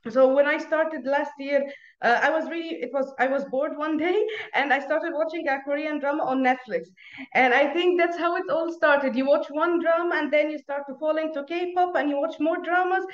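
A woman's voice: sits high, fairly even intonation, moderate pace, roomy sound, muffled recording. A young woman from Egypt, a native Arabic speaker, chatting in a video-call interview, laughing often and restarting her sentences. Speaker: guest. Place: small room at home. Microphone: earphone mic on video call.

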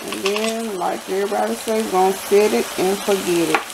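Woman, gravelly, casual cooking chatter, home kitchen, sizzling pan noise. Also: medium pitch, fairly quick. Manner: relaxed, rambling, talks while cooking. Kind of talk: casual cook-along narration. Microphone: camera pointed at the pot, speaker off-frame.

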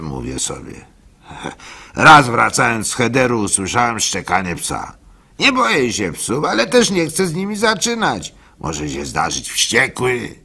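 Radio drama lead: good-natured gullible fool, husky and bright-edged, halting storyteller. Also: male voice, mid-range voice, exaggerated voice. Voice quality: husky, gravelly. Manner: halting, stammering repeats, chuckles, acts out hecklers. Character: gullible male village simpleton and orphan, good-natured, telling his story. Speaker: actor narrating a monologue.

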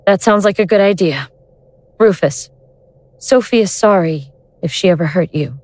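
A woman playing a cold, godlike destroyer in an audio drama, deliberate, condescending and scornful. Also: fairly low pitch, expressive, a little mellow, no echo. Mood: imperious, impatient.

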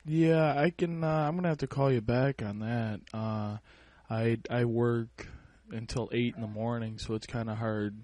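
A man's voice: mid-range voice, fairly even intonation, a little slow, few pauses, darkish tone. A man in a casual phone conversation, hesitant and often interjecting with uh-huh. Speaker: prank caller. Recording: phone prank call recording.

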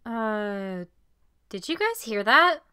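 A sulky, defensive teenage student from an anime audio drama acted by a woman. The voice is sharp, fairly high and lively in pitch. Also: slow pace, smooth, full character voice. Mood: defensive, hesitant.

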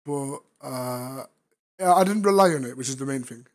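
Hoarse man in crude, teasing banter. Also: medium pitch, normal speed. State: strained tired voice after illness. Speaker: VRChat streamer. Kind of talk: casual chat. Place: online virtual-reality social game.

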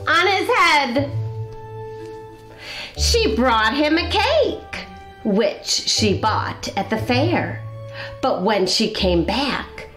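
A middle-aged woman reading a picture book aloud to young children, expressive and deliberate, as a storytime host. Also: on the high side, roomy sound. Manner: expressive, deliberate, explains words for young listeners. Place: small indoor classroom-style set.

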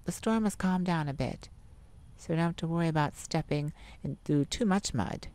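A woman voicing a feisty, sardonic male vampire with a softer side, in a theatrical ASMR roleplay addressed to the listener. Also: low voice, moderate pace, lightly acted. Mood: gentle, sympathetic. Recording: steady rain ambience behind the voice. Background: rain falling.

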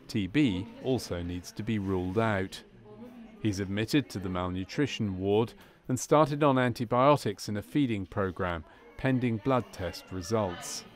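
A man reading scripted narration for a medical training film in a measured, formal manner. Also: lowish voice.

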